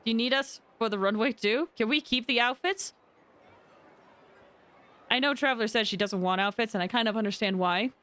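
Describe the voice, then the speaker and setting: A woman's voice: fairly high pitch, brisk, pauses often, a bit bright. A woman streaming a game and chatting casually with viewers in an informal, rambling way. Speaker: live streamer playing a game. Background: game music.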